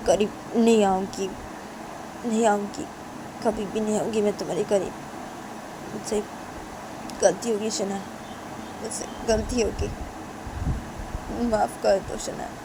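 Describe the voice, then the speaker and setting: A woman's voice: mid-range voice, background noise, natural voice. A woman voicing a meek young woman who stammers out apologies.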